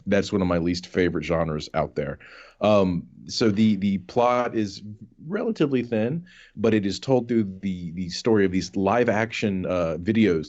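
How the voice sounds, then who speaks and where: Male podcast panelist, very low voice, halting casual review delivery, muffled lo-fi recording. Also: a little mellow. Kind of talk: casual game review chat. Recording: dull, band-limited voice audio.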